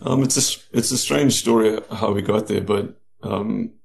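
Gravelly low-voiced man. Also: moderate pace, a little mellow. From New Zealand and South Africa. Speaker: guest, novelist. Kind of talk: casual interview storytelling.

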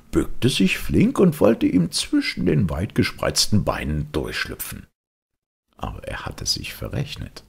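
Male audiobook narrator: very low, creaky and raspy, dramatic children's storytelling. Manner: dramatic, addresses listeners directly.

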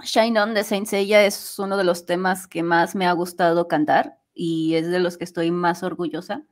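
A woman's voice: lowish voice, steady tone, moderate pace. A woman talking casually as an interview guest about her work as an amateur singer. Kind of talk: casual chat.

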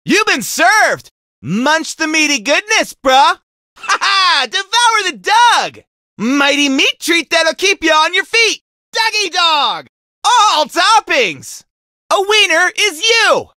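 A man's voice: extremely high pitch, very animated, slow, pushed and bright, no room sound, studio quality, exaggerated voice. A man voicing a goofy, laid-back surfer-dude lifeguard mascot in a video game, with a slangy drawl. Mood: excited. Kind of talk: cartoony video game voice lines.

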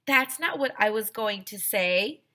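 A woman's voice: mid-range voice, expressive, measured pace, sharp tone, voice pushed up. A woman voicing a romantic, dramatic young schoolgirl in a children's storybook. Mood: earnest.

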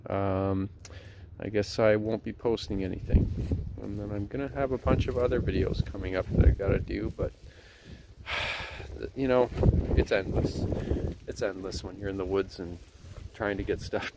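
A man with a fairly low voice talks through a laid-back product review outside his house. He chuckles and rambles a bit as he films on a handheld phone. Steady outdoor noise sits under the voice. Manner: relaxed and chatty, with chuckles and fillers. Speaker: homesteading vlogger.

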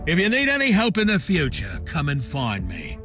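A roguish male space captain for a video game, a wily gossip with theatrical courtesy. His pitch swings freely as he talks, with music underneath. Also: wildly swooping pitch, normal speed, sharp tone, exaggerated voice. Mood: courteous, pleased.

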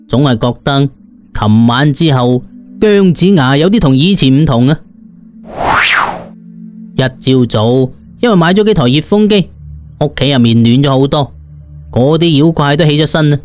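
Cantonese guy narrating an audiobook, chatty dramatic storytelling, slightly high voice, music behind. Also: a little mellow. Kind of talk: expressive first-person story narration.

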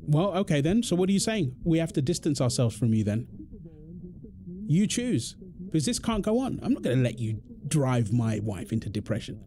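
Exasperated British husband, swooping pitch acted by a man. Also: very animated, normal speed, mellow, slight background noise. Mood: angry, fed up. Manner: indignant, emphatic, confrontational. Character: exasperated, protective husband arguing with his overbearing mother-in-law. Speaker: audio roleplay voice actor. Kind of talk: ASMR roleplay drama monologue.

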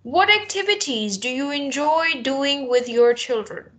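A young woman with a high, bright voice leads a spoken English lesson. She sounds direct and instructive, putting short, clear questions as an examiner would and coaching her students. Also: lively intonation, unhurried. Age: young adult. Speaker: English teacher and mock test interlocutor. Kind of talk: spoken test interview and coaching.

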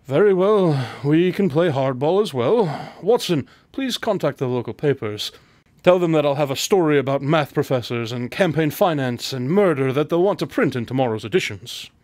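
An actor playing a witty, aloof detective in a radio drama, speaking formally, precisely and with dry teasing. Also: male voice, a little high, animated, moderate pace, darkish tone, no echo, natural voice. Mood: cool, commanding.

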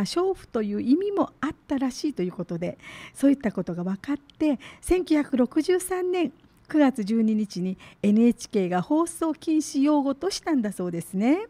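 A woman's voice: on the high side, dry recording. A middle-aged woman hosting live community radio, announcing and interviewing in polite, conversational phrasing. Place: small community radio studio. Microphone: studio boom microphone with headset headphones. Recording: soft background music behind studio voice. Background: faint music.

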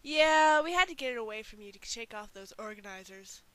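A woman's voice: on the high side, expressive, brisk, fairly bright tone, dry recording, low-quality recording. A woman voicing perky, casual young female game characters in a fan parody dub, on a hissy amateur recording.

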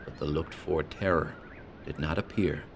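A man narrating a horror story in a slow, measured, ominous way. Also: a bass voice, fairly even intonation, noisy background.

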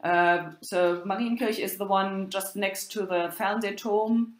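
A woman lecturer giving an unhurried talk, pausing often with ums and uhs. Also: medium pitch, steady tone, reverberant. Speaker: university lecturer. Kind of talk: lecture on medieval drama.

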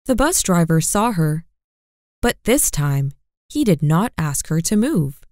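A woman reading a children's story aloud as a storyteller, slow and expressive. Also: fairly low pitch, no echo, studio quality.

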